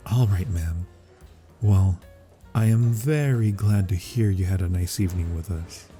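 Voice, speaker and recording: Mellow, fawning male restaurant manager played by a man. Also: low voice, takes it slowly, long pauses. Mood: placating. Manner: formal, unctuous customer-service politeness. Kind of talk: ASMR roleplay. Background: music.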